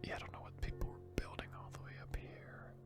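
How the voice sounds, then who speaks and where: Whispering ASMR gamer over music. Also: quick pace. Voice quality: breathy, unvoiced whisper. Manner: rambling, hesitant. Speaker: gaming video host. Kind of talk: whispered ASMR gaming commentary.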